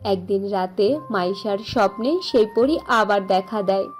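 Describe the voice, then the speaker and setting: A woman's voice: mid-range voice, measured pace, soft background music. A woman reading scripted fairy-tale narration as the storyteller.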